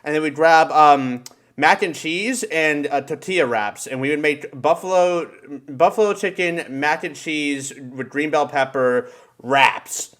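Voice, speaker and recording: An American man hosting a podcast, chatting casually in an animated, slangy way with plenty of swearing. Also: a little high, unhurried. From United States. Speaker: podcast host. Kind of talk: casual banter.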